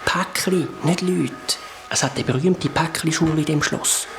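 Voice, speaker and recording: An actor voicing a brave young adventurer who leads the way, speaking Swiss German eagerly and often in a hushed whisper. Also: mid-range voice, normal speed, music in the background, lightly acted. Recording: heavy background noise.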